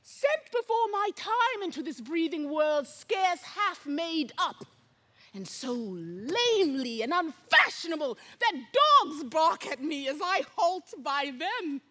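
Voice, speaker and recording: A woman playing an embittered, scheming deformed royal villain, declaiming a Shakespearean stage monologue with deliberate phrasing and sudden hushed menace. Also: very high-pitched, very animated, heavily acted. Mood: bitter, aggrieved.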